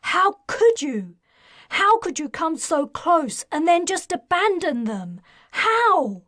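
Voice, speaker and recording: Brief: desperate young mother and ex-Marine, acted by a woman narrator, high and distraught. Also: very animated, very slow, fairly bright tone, no room sound, studio quality, heavily acted.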